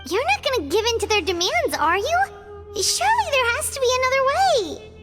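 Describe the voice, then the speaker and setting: A woman's voice: very high-pitched, very animated, brisk, loud music behind the voice. A woman voicing a small, eager fairy girl companion in a video game, with a chirpy, urgent manner. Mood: worried.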